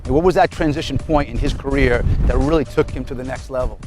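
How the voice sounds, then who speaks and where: A man's voice: medium pitch, fast talker, music in the background. A man hosting a TV entertainment show, presenting segments and interviewing guests.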